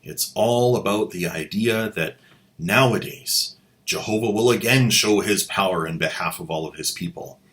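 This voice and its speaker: A man's voice: on the low side, normal speed, fairly bright tone. A man hosting a channel, giving sarcastic commentary with wry, mocking asides. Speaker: host.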